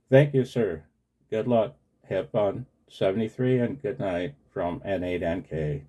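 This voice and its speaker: A man in his sixties with an American accent and a low, mellow voice. He talks like a ham radio operator in a casual two-way exchange, earnest and very polite to the other party. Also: slow. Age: 60s. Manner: earnest and deferential, often saying sir.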